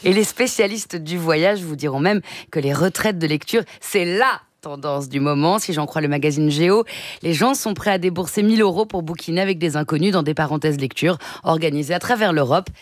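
A woman's voice: deep voice, fairly quick, no room sound, studio quality. A woman presenting a light scripted radio column in a wry, conversational way.

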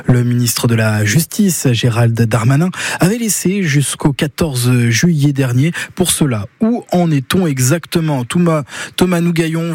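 A man presenting the morning news on local radio in a steady, scripted way from a studio mic. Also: medium pitch, dry recording. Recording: dry studio, faint music bed.

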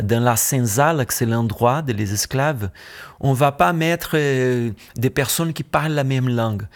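A middle-aged Brazilian musician speaking French with a Portuguese accent, pausing often and restarting while being interviewed. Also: male voice, medium pitch. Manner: searching for words, many hesitations and restarts. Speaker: musician guest. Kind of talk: radio interview.

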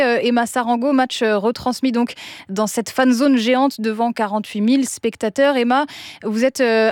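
Female radio news anchor, fairly high voice. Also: darkish tone, dry recording.

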